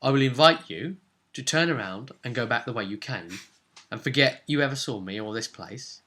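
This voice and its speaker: A man voicing a young female elf assassin in a tabletop game, firm and measured, giving polite warnings. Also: mid-range voice, expressive, bright, forceful tone. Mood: calm warning.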